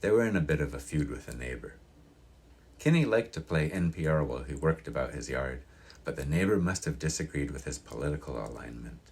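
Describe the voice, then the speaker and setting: Man, extremely low pitch, husky voice, audiobook narration, scripted storytelling read aloud. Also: expressive, a little fast, a little mellow.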